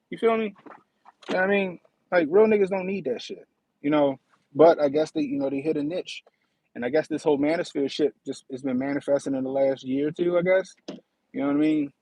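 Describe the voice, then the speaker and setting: A man's voice: a little high, vocal fry, mellow, slightly rough, dry recording, low-quality recording. A man in his thirties hosting a casual livestream, talking in a slangy, profane way and often asking "you know what I mean". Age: 30s. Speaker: livestream host.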